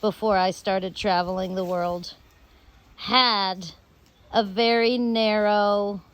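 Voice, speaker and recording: An American woman telling rambling personal stories in drawn-out, halting phrases with long pauses. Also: mid-range voice, steady tone. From United States. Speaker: storyteller host.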